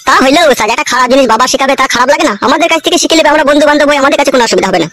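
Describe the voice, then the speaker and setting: Nosy young cartoon henchman played by a man, cheeky yet obedient, high pitched-up voice, rapid-fire. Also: animated, pushed and bright, no room sound, studio quality, heavily acted. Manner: cheeky, deferential to his boss. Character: eager, nosy young sidekick to club boss. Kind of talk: comedy cartoon dubbing.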